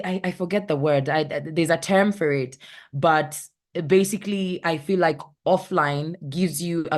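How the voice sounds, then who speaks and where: Low-voiced Kenyan woman, chatty panelist. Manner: chatty, searches for words, acts out anecdotes. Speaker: panel guest, content creator. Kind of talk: casual panel discussion.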